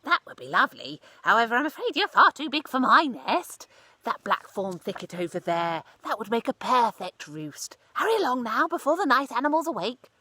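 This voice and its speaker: Woman doing a tiny squeaky lady mouse from a kids story, brisk n kindly, lively pitch. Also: slightly rough, heavily acted. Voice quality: little, squeaky. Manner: polite, brisk, advising.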